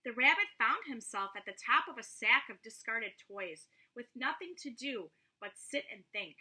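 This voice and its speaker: A woman reading a children's story aloud in an expressive, dramatic manner. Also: fairly high pitch, moderate pace, breathy edge, poor audio. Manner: dramatic, with whispered asides.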